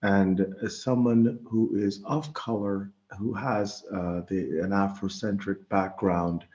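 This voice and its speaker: A middle-aged man from the Bahamas giving an informal religious talk online, speaking haltingly and often repeating words as he goes. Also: lowish voice, fairly even intonation, darkish tone, muffled recording. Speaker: guest presenter. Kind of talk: informal religious lecture over an online meeting.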